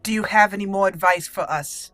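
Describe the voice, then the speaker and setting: A woman's voice: mid-range voice, brisk, a bit bright, faint music behind. A woman hosting a roleplay let's play, chatty and playful, commenting and reading dialogue aloud. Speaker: gaming channel host.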